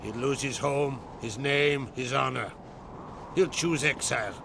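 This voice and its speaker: A man playing a proud, weary middle-aged island chieftain in a video game, solemn and blunt, with a gravelly voice. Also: mid-range voice, a little slow, background noise. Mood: grave, resolute. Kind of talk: dramatic video game acting.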